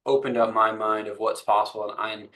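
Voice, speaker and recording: A man's voice: fairly low pitch, fairly even intonation, a little fast, sharp tone, rough recording. A man hosting a webinar, introducing his guest with enthusiasm and a lot of repetition, speaking over a video call. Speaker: webinar host.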